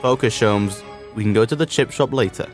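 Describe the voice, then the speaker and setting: An actor playing a sensible, long-suffering doctor and detective's sidekick with a dry manner, in a comic radio drama. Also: male voice, lowish voice, a little fast, natural voice. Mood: exasperated. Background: music.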